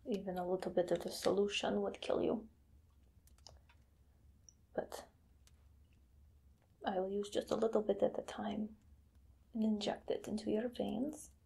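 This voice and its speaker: A woman in her thirties whispering as a zealous female vampire hunter in an ASMR roleplay, slow and deliberate. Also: mid-range voice, vocal fry, warm tone. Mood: hopeful. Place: small dim room indoors.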